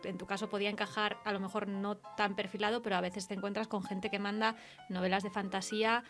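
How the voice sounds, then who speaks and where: A woman's voice: mid-range voice, flat delivery, fast, a bit bright, soft background music. A woman with a Spain accent interviewing casually, thinking aloud and checking in with tag questions. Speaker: radio interviewer. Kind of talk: casual literary interview chat.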